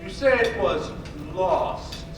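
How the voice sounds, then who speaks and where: An actor in a radio play, voicing a bitter, gloating old banker who scorns and taunts. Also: male voice, high-pitched, animated, takes it slowly, background noise. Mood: scornful. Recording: echoey hall, distant mic, faint music.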